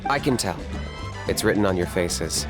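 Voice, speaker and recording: An actor playing a cool-headed young hunter in a video game, with a clipped, deadpan, matter-of-fact delivery. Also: male voice, low-pitched, normal speed, music in the background.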